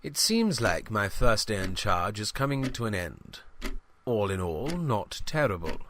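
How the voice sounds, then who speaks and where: A man's voice: lowish voice, big pitch swings, a little slow, background noise. A man playing a self-important novice small-town reporter, formal and dismissive, in a scripted comic audio diary. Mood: self-satisfied. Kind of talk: scripted comedy narration, journalist's audio diary.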